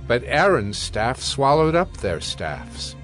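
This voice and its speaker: Measured male scripture narrator, mid-range voice. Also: medium pitch, very animated, a bit bright, faint music behind. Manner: measured, formal storytelling.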